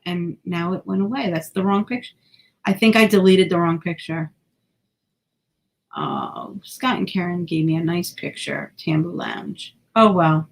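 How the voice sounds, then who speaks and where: A woman's voice: lowish voice, vocal fry. A woman co-hosting a podcast in casual chat, talkative and self-deprecating, sometimes tripping over her words. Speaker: podcast co-host.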